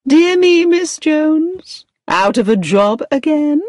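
A woman voicing a fussy, excitable female employment agency manager in a storybook, speaking effusively and emphatically. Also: sits high, takes it slowly, no echo, studio quality, voice pushed up, exaggerated voice. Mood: surprised, discouraging.

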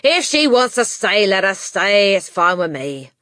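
A woman's voice: mid-range voice, big pitch swings, unhurried, a bit bright, no room sound, clean studio sound. A woman voicing a brusque, impatient, uncaring father in a storybook reading, curt and dismissive.

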